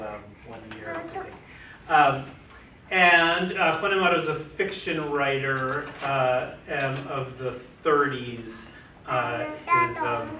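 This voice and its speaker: A man who is a poet and translator, speaking on a panel in a relaxed, halting way with many fillers and restarts. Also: medium pitch. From United States, family from Chile. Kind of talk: casual panel talk. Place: lecture room. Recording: echoey room, distant mic, noisy.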